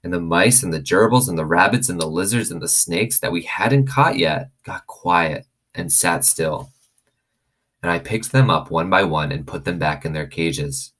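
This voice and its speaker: A man reading a children's novel aloud as an expressive storyteller. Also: deep voice, normal speed.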